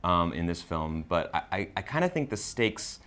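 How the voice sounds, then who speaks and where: A man's voice: low voice, sing-song, normal speed, fairly bright tone. A man co-hosting a casual film discussion, enthusiastic and slangy in the way he talks. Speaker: co-host of a movie review show.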